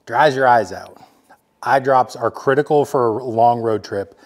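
A man's voice: mid-range voice, measured pace. Easygoing guy in his 40s rambling through his gear list, lots of ums, indoor workshop. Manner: laid-back, chatty, lots of fillers. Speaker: vlog host. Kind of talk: casual product rundown. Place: home workshop office, indoors. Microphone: fixed camera, standing at desk.

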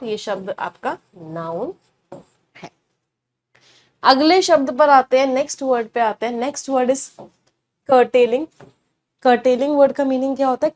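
A woman's voice: on the high side, darkish tone. A young woman teaching vocabulary in a studio lesson, explaining in mixed Hindi and English and answering her own questions. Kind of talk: online exam-prep lesson. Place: studio, standing before a large screen.

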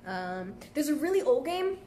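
Young woman, light and a bit high-pitched, easygoing chatter to viewers from a café, phone mic close by. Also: sing-song, moderate pace, mellow, slight background noise. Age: young adult. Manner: chatty, laid-back, heavy use of "like" fillers. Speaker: live streamer answering viewer comments. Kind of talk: casual live stream chat. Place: indoor café at night. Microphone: phone at arm's length.

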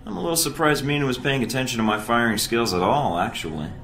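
An actor playing a brash, cocky young American secret-agent recruit in a video game, with a flippant manner. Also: male voice, medium pitch, fast talker, a bit bright, a little hiss or hum, close to an everyday voice. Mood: smug. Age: young adult. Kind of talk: video game cutscene dialogue. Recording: echoey, music underneath.

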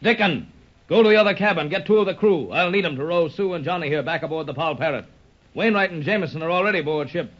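An actor playing a commanding, protective sea captain with salty nautical talk, in old-time radio adventure style. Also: male voice, on the high side, fast, fairly bright tone. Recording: dull lo-fi old radio recording.

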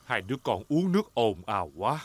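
A man's voice: fairly low pitch, very animated, a little fast, very noisy. A man playing a jolly, easygoing big daddy pig in a children's cartoon dub, hearty and fatherly. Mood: mild scolding. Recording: music behind the voice.

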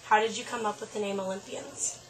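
A woman's voice: mid-range voice, fairly even intonation, a little fast, noisy background. A woman in her twenties interviewing a band, asking questions with a light, giggly manner. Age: 20s.

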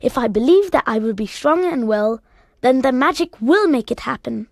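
A woman voicing an excitable, determined young boy in a storybook, speaking in emphatic, earnest declarations. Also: high-pitched, moderate pace, no echo, studio quality, voice pushed up. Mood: determined, joyful.

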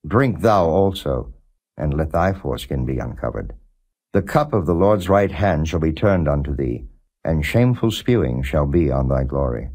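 A man giving a non-dramatized scripture reading as a narrator, in a measured, solemn and formal way. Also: rumbling low, lively intonation, darkish tone. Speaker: Bible reader, audiobook narrator.

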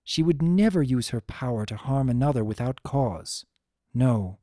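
A narrator voicing a wise, weary female pony princess, the elder sister, speaking in a measured, solemn and gentle way for a story reading. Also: mid-range voice, lively intonation, no echo, studio quality, lightly acted. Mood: troubled, regretful.

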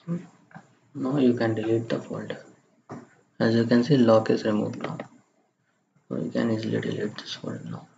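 A man narrating a step-by-step software screencast in a halting, plain-spoken way. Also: mid-range voice, little pitch movement, creaky. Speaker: tutorial presenter. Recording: muffled lo-fi microphone, faint background noise.

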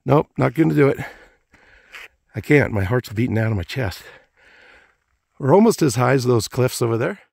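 A man in his sixties, out of breath and husky, chatting to himself in folksy exclamations as he vlogs outdoors on a phone. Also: medium pitch, animated, moderate pace, pauses often, a little mellow, dry recording, studio quality. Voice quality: husky, gravelly. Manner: folksy, exclaiming, talking to himself in short bursts. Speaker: travel vlogger. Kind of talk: casual hiking vlog narration. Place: outdoors on rocky trail. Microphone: handheld phone at arm's length.

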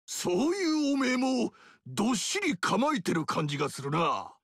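A man voicing a boisterous, proud barbarian king with a gravelly, blustering, shouting delivery in video game dialogue. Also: high voice, huge pitch swings, normal speed, no echo, studio quality, exaggerated voice. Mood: boastful. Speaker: warlord character.

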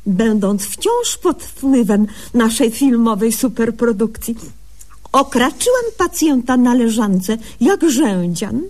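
A brisk, self-important young female doctor in a comic radio sketch. Her high voice swings widely as she recounts her diary in mangled, mock-literary phrases. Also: lively intonation, a little mellow. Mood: mock-solemn. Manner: mock-literary, deliberately garbled diction. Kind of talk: humorous radio sketch acting.

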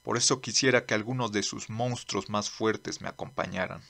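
Man voicing a gravelly, blunt old lawman. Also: on the low side, normal speed, a bit bright. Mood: earnest, firm. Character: blunt, earnest old lawman seeking monsters' help. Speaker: voice actor in a manga dub. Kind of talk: dramatic dubbing.

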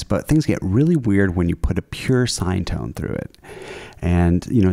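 Deep, creaky-voiced man demonstrating. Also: dark tone. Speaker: presenter demonstrating an audio plugin. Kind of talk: casual product demo narration.

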